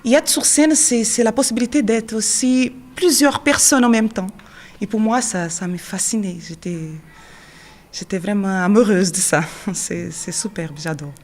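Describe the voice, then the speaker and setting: An actress in her thirties with a Brazilian Portuguese accent, speaking French as a second language in a mid-pitched voice. She answers questions and keeps pausing on fillers while she looks for the right word. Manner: searching non-native French, frequent fillers and quick laughs. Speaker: drama teacher and actress. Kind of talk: interview answers.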